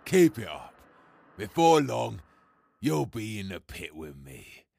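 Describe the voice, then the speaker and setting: Very deep, gravelly villain. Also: male voice, big pitch swings, heavily acted. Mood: taunting menace. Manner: slow, taunting, quietly threatening. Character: menacing gravel-voiced villain taunting a hero. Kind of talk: audio drama acting.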